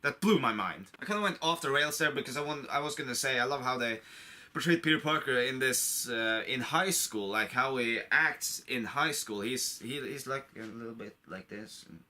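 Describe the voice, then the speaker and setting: A man's voice: mid-range voice, expressive, normal speed, bright, forceful tone. A man getting over a cold gives a casual, unscripted movie review to camera, an enthusiastic fan who rambles and repeats himself. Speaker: online video creator reviewing a film.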